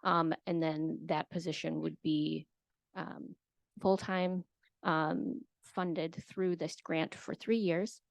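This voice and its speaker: A woman who works as an extension educator, explaining a program to a county committee in a hesitant way, with many filler pauses. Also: deep voice, steady tone, creaky, no room sound. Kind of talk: committee meeting presentation.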